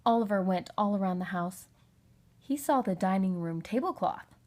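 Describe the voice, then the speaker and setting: A woman narrating a children's storybook in an expressive read-aloud style. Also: medium pitch, normal speed. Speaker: storybook reader.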